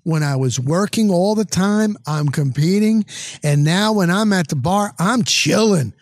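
A middle-aged man talks sports in a casual, chatty way. His voice sits a little higher than average and the sound is dry and close, with rambling sentences and emphatic repeats. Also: unhurried, dry recording. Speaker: sports broadcaster.

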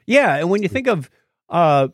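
A man co-hosting a podcast, chatting casually and recounting things in a rambling, animated way. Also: sits high, normal speed, dry recording, clean studio sound. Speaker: podcast co-host. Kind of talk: casual podcast chat, recounting a nature documentary.

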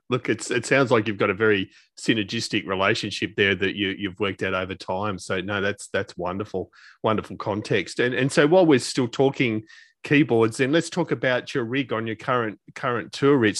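A man's voice: on the low side, fairly bright tone, no room sound, clean studio sound. A man hosting a conversational interview, affirming his guest and putting wordy questions. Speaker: interviewer, podcast host.

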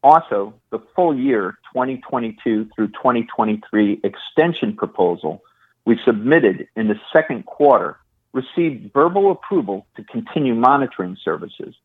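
A man speaking as a chief executive, reading prepared corporate remarks in a formal, measured way over a phone. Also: medium pitch, expressive, slightly rough. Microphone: phone call. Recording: thin, narrow phone line.

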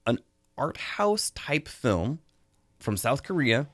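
A man's voice: mid-range voice, sing-song, slow, a bit bright, no room sound, studio quality. An American man hosting a review show, talking casually as he gives his take. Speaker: host of a film and book review show.

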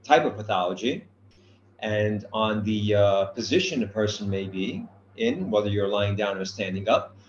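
Low-voiced male lecturer, halting, roomy dull call audio. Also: animated, a little hiss or hum. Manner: hesitant, many filler sounds, dry humor. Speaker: guest lecturer, physician. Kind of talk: academic lecture.

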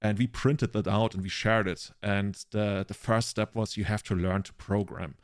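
German guy in his 30s, lowish voice, dry close sound, rambling self-mocking podcast stories. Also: steady tone, moderate pace, smooth, no echo. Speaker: podcast co-host. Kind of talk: casual chat, rambling anecdotes.

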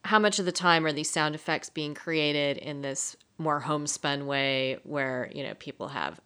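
Lady podcast cohost, lowish voice but bright and crisp, casual n interested. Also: normal speed. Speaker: podcast co-host. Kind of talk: casual conversational podcast talk.

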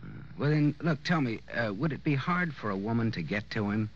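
An American actor playing a wisecracking, tough private detective in old-time radio noir, wry and deadpan. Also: male voice, medium pitch, a little fast, natural voice. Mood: probing, dry. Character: wisecracking, tough male private detective narrating his case. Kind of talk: 1940s radio noir acting and hardboiled narration. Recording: muffled old-time radio recording with music.

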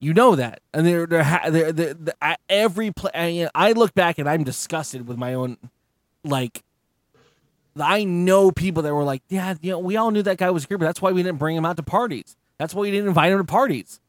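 A man hosting a podcast in a casual chat, animated and blunt, swearing and restarting his sentences. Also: on the high side, fairly quick, dry recording, studio quality. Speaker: podcast host.

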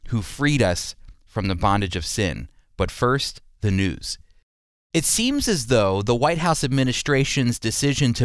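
A man's voice: medium pitch, clean tone, no echo, clean studio sound. A man hosting a radio program, teaching the Bible and commenting in an earnest, emphatic, explanatory way.

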